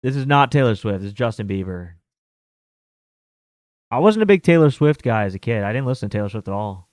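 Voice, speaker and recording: A man's voice: medium pitch, big pitch swings, fairly quick, darkish tone, no echo. Rambling man, dry close mic. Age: 30s. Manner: rambling, filler-heavy, with mild swearing. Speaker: sports betting host. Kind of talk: casual chat.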